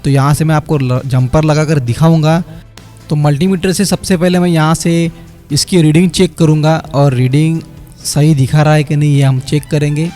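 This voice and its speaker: Man, higher voice, technician talking viewers through a repair, music under. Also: steady tone, moderate pace. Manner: explanatory, step by step, addresses viewers as friends. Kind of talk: voice-over repair tutorial. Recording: quiet background music under voice-over. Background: light background music.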